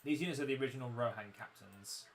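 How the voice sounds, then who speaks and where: A young man commentating casually on his own game livestream, his voice croaky, chatty and self-mocking as he explains the mechanics. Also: medium pitch, fast, slight background noise. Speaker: game streamer.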